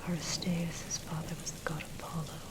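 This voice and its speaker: A woman reading dark history as ASMR in a breathy, unvoiced whisper, with a measured, scholarly delivery. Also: deep voice. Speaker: narrator. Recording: steady loud rain noise over voice. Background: rain and thunder.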